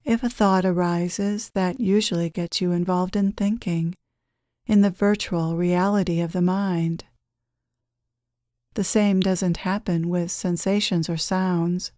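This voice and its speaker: A woman leads a guided meditation in a soft-edged, mellow voice. She speaks very slowly and gently, leaving long, soothing pauses. The sound is dry, with very little room around it. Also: fairly low pitch, steady tone, no echo. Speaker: guided meditation leader, channeler.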